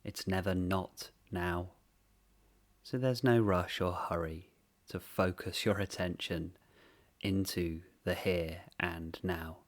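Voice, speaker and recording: A man leading a guided meditation in a gentle, unhurried way, speaking in short phrases with long pauses. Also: sits low, fairly even intonation.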